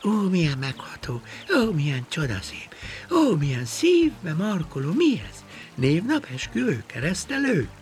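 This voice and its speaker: A man's voice: lowish voice, sing-song, creaky, few pauses, a bit bright, faint music behind, heavily acted. A man voicing an eager, sentimental worm sidekick in a children's story, with gushing exclamations. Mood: awestruck.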